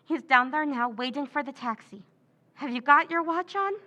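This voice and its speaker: An actress playing Pamela, a cold, commanding woman in an old-time radio drama who gives clipped orders and plots in hushed tones. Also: high-pitched, moderate pace. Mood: hushed, plotting. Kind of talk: 1940s radio drama acting.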